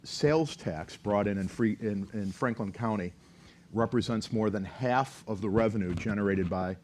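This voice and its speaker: Fairly low-voiced male panel moderator. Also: normal speed, darkish tone, slight background noise.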